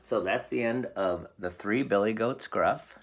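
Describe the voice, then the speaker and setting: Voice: mid-range voice, unhurried, slight background noise. A storyteller reading a picture book aloud expressively for young children.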